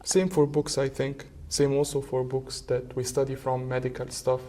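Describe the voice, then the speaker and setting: A man's voice: medium pitch, little pitch movement, moderate pace, warm tone. A young man whose first language is Arabic, talking casually as a podcast guest and hesitating often with fillers. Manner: hesitant, many filler uhs. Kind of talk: casual conversation. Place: podcast studio.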